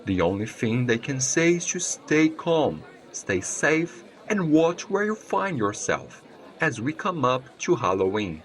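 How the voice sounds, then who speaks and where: A man playing a male news reporter in an audio drama, giving grave bulletins in a measured, formal manner. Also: mid-range voice, big pitch swings, some chatter behind, poor audio. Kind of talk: scripted news bulletin acting.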